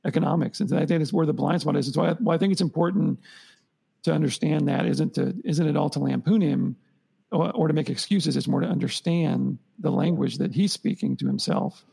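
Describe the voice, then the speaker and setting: A man talks quickly in long, rambling runs and keeps circling back to restart his sentences as he works through his thoughts on a podcast. His voice is heavily gravelly with a husky edge, and its tone is dark. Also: on the high side. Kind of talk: conversational podcast discussion.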